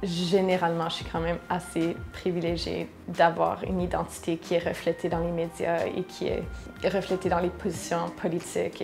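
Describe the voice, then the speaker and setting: A woman from Manitoba, a touring artist speaking in a measured way in a documentary interview. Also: on the low side, creaky. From Manitoba, Canada.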